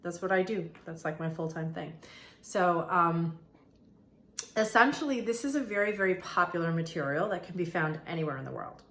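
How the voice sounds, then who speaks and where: A woman's voice: on the low side, expressive, normal speed, a bit bright. A woman hosting an informal spiritual explainer, talking to viewers in a conversational, emphatic way and repeating phrases for emphasis. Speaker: host of a manifestation and meditation channel. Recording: roomy, echoey room sound.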